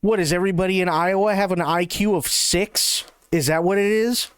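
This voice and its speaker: A man's voice: high-pitched, fairly even intonation, moderate pace, smooth, dry recording. A man ranting sarcastically while reacting to a live showcase stream, swearing often and mocking what he hears. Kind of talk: profane live-stream commentary.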